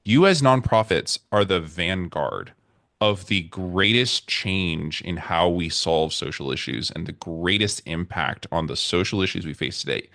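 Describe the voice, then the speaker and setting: A man with a very deep voice, a podcast guest speaking earnestly and rallying listeners. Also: lively intonation, a little slow, creaky, fairly bright tone, no echo, studio quality. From United States. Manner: earnest, rallying speech-like delivery. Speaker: podcast guest, nonprofit consultant. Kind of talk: conversational interview.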